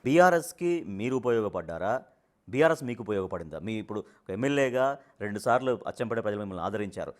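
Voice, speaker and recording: A man's voice: fairly low pitch, lively intonation, fast. A man working as a TV interviewer, putting probing political questions and rephrasing them as he goes.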